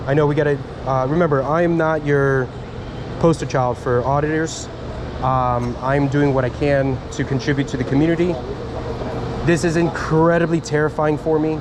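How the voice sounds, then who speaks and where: A man from Miami filming a casual self-made vlog on his phone, halting and chatty, full of fillers and restarts, talking to camera and to officials. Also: a little high, fairly even intonation, background noise. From Miami, USA. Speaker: vlogger filming a first amendment audit. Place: outdoors then in a public building lobby. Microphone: handheld phone camera.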